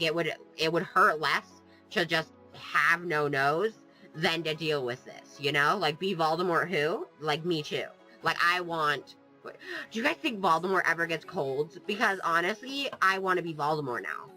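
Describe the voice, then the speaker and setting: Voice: mid-range voice, pushed and bright, low-quality recording. A gaming vlogger with a cold, sniffling while joking and rambling off topic in playful, sarcastic casual chat. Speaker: gaming YouTuber.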